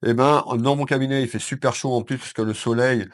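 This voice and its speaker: A man from France with a head cold giving a calm weekend card reading to viewers from his consulting office. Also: mid-range voice, brisk. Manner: calm, addresses viewers as "mes belles âmes", with frequent fillers. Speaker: psychic card reader. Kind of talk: weekend tarot reading to camera.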